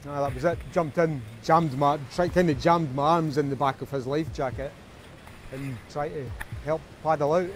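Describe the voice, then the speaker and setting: A man, recalling an ordeal in an interview, talking in halting bursts with restarts. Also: a little high, fairly even intonation, slight background noise. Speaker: rescued man.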